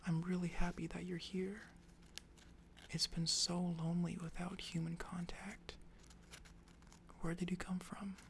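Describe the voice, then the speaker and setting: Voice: mid-range voice, flat delivery, a little slow, long pauses, slight background noise, close to an everyday voice. A whispering storyteller voicing a lonely little girl who is trapped in a repeating day. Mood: relieved. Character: lonely little girl, bossy then sweet.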